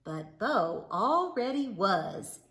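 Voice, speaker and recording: A woman reading a children's story aloud with theatrical, deliberate phrasing. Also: medium pitch, sing-song, a bit bright. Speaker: storybook reader. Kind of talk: expressive children's read-aloud narration. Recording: roomy, echoing indoor sound.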